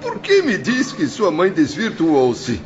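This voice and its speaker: A man's voice: on the high side, huge pitch swings, slow, lo-fi sound, over music, exaggerated voice. A man playing a desperate, guilt-ridden dead father in a radio drama, pleading and stammering with heavy emotion. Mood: distressed disbelief. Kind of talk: melodramatic radio drama acting.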